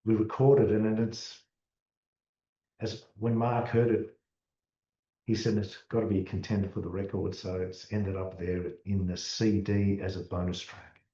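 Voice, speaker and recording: A man's voice: lowish voice, a little slow. Distant Australian man in a roomy, dull recording. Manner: relaxed, repeats words when restarting. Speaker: musician, interview guest. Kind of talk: casual interview chat.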